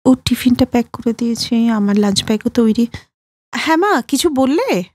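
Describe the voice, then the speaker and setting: A woman's voice: on the high side, lively intonation, moderate pace, a little mellow, no echo, voice pushed down. A woman voicing a busy, put-upon young working daughter-in-law in a cartoon story. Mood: busy, polite. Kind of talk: cartoon voice acting.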